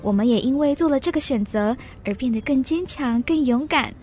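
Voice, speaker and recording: A woman plays an earnest society lady in a literary radio drama. Her high voice is theatrical and expressive, over faint music. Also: brisk, lightly acted. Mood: earnest, reflective.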